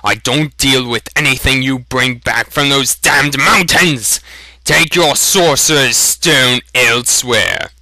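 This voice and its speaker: Man acting out a surly, bright-voiced village butcher. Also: mid-range voice, slow pace, no echo, voice pushed up, heavily acted. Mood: angry. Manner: sneering, exaggerated patience turning to shouting. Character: surly, quick-tempered male village butcher.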